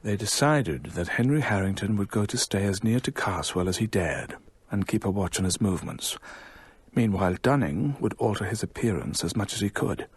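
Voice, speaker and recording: An actor playing a British storyteller, narrating a ghost story in a measured, suspenseful radio drama style. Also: male voice, on the low side, lively intonation, husky, no echo.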